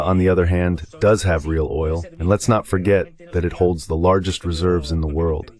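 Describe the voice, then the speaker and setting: A man's voice: low voice, a little mellow. A man speaking as the translated voice-over of a guest analyst, delivering political commentary.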